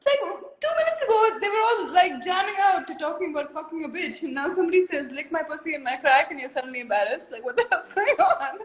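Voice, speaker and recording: A woman's voice: extremely high pitch, lively intonation, quick pace, hardly any pauses. A woman from India in her thirties, a guest artist chatting frankly and at length on a video call, laughing often. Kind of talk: casual interview chat. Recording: echoey room.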